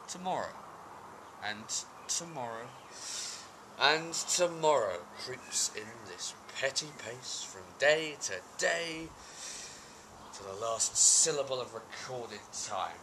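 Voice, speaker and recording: A man's voice: mid-range voice, animated, slow. A man playing a brooding, war-weary tyrant king in an intense, theatrical Shakespearean tragic monologue. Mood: bleak despair. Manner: theatrical, intense, shifting between hushed and outbursts. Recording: amateur home video with background noise. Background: birds and ambient noise.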